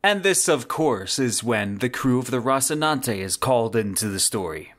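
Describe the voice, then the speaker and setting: A man's voice: medium pitch, expressive, moderate pace, fairly bright tone. A man hosting a solo podcast, giving scripted commentary in a dramatic, emphatic storytelling manner. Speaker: podcast host.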